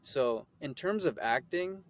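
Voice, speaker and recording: A man's voice: on the high side, lively intonation, a little slow, slight background noise. A man in his twenties from the Philippines, a guest actor giving easygoing, rambling interview answers with many fillers.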